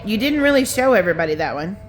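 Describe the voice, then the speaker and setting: A woman's voice: medium pitch, sing-song, rapid-fire. A woman casually asking questions as an interviewer, prompting and encouraging the other speaker. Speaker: off-camera interviewer.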